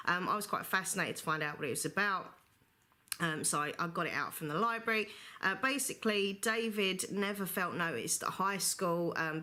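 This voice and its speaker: A woman with a low-set but bright, forward voice, talking through her reading in a casual, rambling vlog. She leans on fillers like "you know" and still sounds a bit chesty from a recent flu. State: recovering from flu, slight cough. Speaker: book vlogger. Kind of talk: casual book review monologue.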